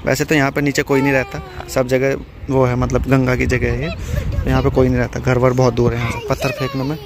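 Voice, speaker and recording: A man's voice: medium pitch, flat delivery, fast. A man in his thirties vlogging casually to his viewers, joking and chatty, filmed outdoors by a river on a phone at arm's length. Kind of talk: casual travel vlog to camera. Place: outdoors by a river and on a bridge in hills. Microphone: phone held at arm's length. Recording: outdoor noise from passing traffic.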